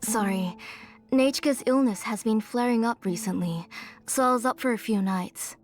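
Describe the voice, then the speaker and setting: Gentle motherly game aunt voiced by a woman, slightly high. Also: normal speed, natural voice. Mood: weary, apologetic. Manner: gentle, hospitable. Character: gentle, weary motherly aunt, retired craftswoman. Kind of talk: video game character acting. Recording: game music behind the voice.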